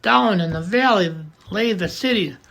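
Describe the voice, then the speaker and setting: A man reading a children's picture book aloud as an amateur audiobook, slow and deliberate. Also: high voice, creaky, a bit bright. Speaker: audiobook reader.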